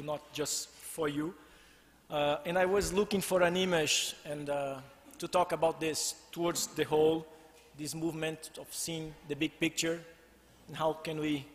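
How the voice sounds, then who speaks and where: Brazilian man, forties, podium talk, halting restarts, raised pitch, long hall reverb. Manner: halting, with many restarts and fillers. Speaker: conference speaker. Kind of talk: tribute talk with slides. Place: large glass-walled hall, indoors. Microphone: podium microphone.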